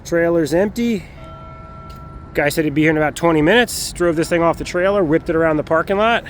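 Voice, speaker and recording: A man's voice: a little high, a little fast. A man chatting casually and informally to his viewers as the host of a car vlog, in a folksy way. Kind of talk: casual handheld vlog chat. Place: mostly outdoors, around vehicles, parking lot and property. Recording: noisy outdoor recording with vehicle sounds.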